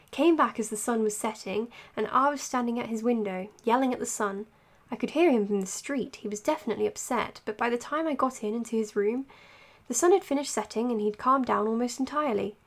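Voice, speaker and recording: A woman playing an earnest, dutiful psychiatrist in an audio drama, speaking in a measured, precise, professional way. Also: on the high side. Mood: measured, thoughtful. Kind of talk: audio drama acting as spoken case notes and dialogue.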